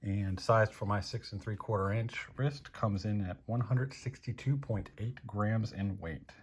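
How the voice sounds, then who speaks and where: An American man from Maryland with a husky voice, casually reviewing products as host in a dry, unhurried manner. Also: on the low side. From Maryland, United States. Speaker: host of a watch review show.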